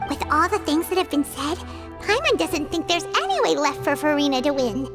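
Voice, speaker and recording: A woman voicing a small, childlike fairy companion in a video game, blunt and excitable, with music behind her. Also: high voice, normal speed. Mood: resigned. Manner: excitable, talks in third person. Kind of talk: cartoonish video game acting.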